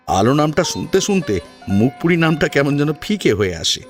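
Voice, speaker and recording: Expressive man narrating, very lively pitch. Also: normal speed. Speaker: story narrator. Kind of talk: dramatic audiobook story narration. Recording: sitar music behind the voice. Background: plucked sitar music.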